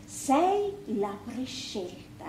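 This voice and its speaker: An actress playing a sharp-tongued, impatient sister at a wake in a stage drama, with a Sicilian accent. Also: female voice, sits high, very animated, drawn-out words, echoey room, slight background noise, full character voice. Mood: wry, wistful. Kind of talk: stage drama acting in dialect.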